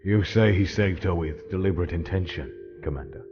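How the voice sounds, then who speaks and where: A man playing a wry, authoritative military judge in an audio drama, measured and sardonic. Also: deep voice, highs cut off, over music. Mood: stern, measured. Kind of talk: fan audio drama acting.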